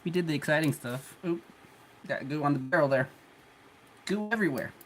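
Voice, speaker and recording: A congested man hosting a livestream, chatting in a relaxed, rambling way while he works, chuckling often. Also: fairly high pitch, fairly quick, slight background noise, rough recording. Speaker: 3D printing livestream host. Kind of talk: casual livestream talk while working.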